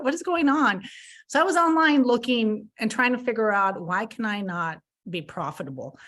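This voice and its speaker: A woman's voice: fairly high pitch, fairly bright tone. A woman in her fifties, a podcast guest, talking candidly about her past mistakes and often repeating words as she restarts. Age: 50s. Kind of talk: candid conversational interview.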